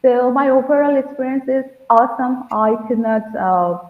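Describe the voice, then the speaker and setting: A woman's voice: high voice, moderate pace. A woman with an Indian English accent, a pronunciation student, speaking hesitantly with many fillers over a video call. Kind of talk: video-call testimonial interview. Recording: tinny phone-like line, echoey room.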